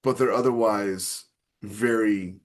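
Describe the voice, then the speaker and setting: A man in casual discussion as a podcast host, somewhat halting as he thinks aloud. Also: on the low side, fairly even intonation.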